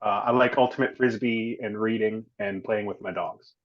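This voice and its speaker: A man giving a clear, organized self-introduction to an online class over a video call. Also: lowish voice, steady tone, moderate pace. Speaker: student. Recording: dull lo-fi call audio.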